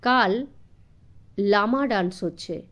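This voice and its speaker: A woman voicing a talkative, deferential, smiling gentleman with a nervous streak in a storybook audiobook. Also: mid-range voice, slow. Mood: eager, confiding. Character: smiling, chatty, nervous middle-class gentleman informant.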